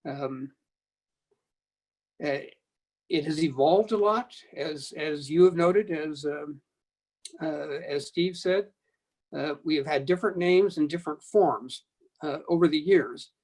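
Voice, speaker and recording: An older American man giving reflective panel remarks in short, measured, halting phrases. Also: a little high, a little mellow. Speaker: panelist, former broadcasting board member.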